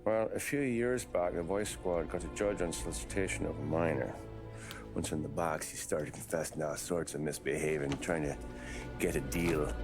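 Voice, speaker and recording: A low, deep male voice plays a seasoned 1930s detective. He is measured and probing, with hard-boiled phrasing, in a dramatic game scene with faint music underneath. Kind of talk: dramatic video game acting.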